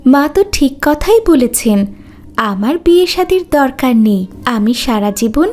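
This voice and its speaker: Woman acting a meek, high-voiced cartoon daughter. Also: expressive, a little slow, a little mellow. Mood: resigned. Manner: polite, submissive. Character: meek, obedient eighteen-year-old daughter.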